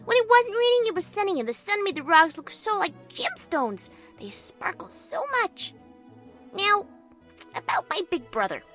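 A little girl who fancies herself a general in an audio story. Her voice is extremely high and bright, swinging widely in pitch. She is bossy, earnest and full of self-importance, with soft music underneath. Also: very animated, normal speed, heavily acted. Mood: earnest, boastful. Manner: earnest, pompous, childlike narration with barked orders. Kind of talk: first-person story narration. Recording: music behind the voice.

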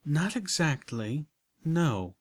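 A man's voice: fairly high pitch, very animated, slow, dry recording, clean studio sound, voice pushed up, heavily acted. A man voicing an elegant, prim unicorn mare who talks with genteel enthusiasm. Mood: confiding.

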